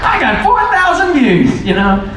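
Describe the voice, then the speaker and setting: A man's voice: wildly swooping pitch, moderate pace, background noise. A man, a vlogger on a Q&A panel, talking earnestly and enthusiastically to an audience. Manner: earnest, enthusiastic, jumps up in pitch on quotes. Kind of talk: heartfelt casual talk to an audience. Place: large lounge on a cruise ship. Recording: very echoey room from far off.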